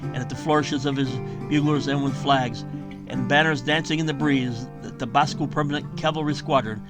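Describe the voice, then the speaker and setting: A man's voice: medium pitch, steady tone, normal speed. A man reading his historical western novel aloud as a theatrical, emphatic audiobook narrator. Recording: guitar music behind the voice. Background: plucked guitar music.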